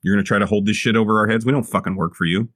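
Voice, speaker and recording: A man's voice: fairly low pitch, fairly even intonation, racing, a bit bright, no echo, studio quality. A man playing his character in a tabletop game, talking bluntly and casually with some swearing. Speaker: player.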